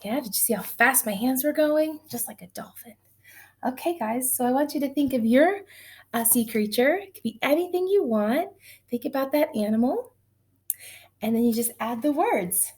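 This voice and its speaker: A woman's voice: high-pitched, expressive, moderate pace, a little mellow. A woman teaching young children in an encouraging, sing-song way, asking them questions as she counts, chants and tells simple stories.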